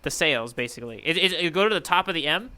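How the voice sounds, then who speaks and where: A man with a very bright, forceful voice and a smooth, crisp tone chats casually as a podcast co-host. His pitch sits a little high, and he thinks aloud as he talks. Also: animated, fast talker. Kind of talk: casual chat, manga readalong discussion.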